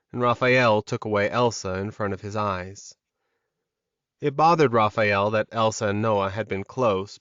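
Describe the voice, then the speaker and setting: A man's voice: lowish voice, no echo, highs cut off. A man reading scripted fiction as an audiobook narrator, in a dramatic storytelling style.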